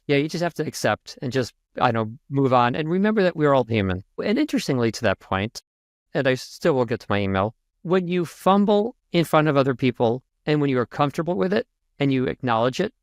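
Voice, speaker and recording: A man speaks as a guest coach in an interview, animated and quick to joke, and his voice jumps upward when he stresses a point. His pitch sits in an ordinary middle range, and the sound is dry, with no room echo. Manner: animated and joking, big pitch jumps for emphasis. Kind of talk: conversational interview.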